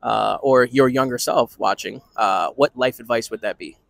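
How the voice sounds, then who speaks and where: A man in his thirties interviewing people on a city street with a handheld mic, quick-talking and repeating short affirmations. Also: medium pitch, vocal fry, no room sound. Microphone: handheld microphone.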